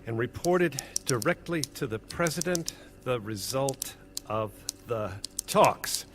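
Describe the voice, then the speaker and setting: An actor playing a harried, irritable reporter on deadline in a live radio play, recorded on stage with some noise. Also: male voice, medium pitch, expressive, takes it slowly. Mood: frantic, irritated.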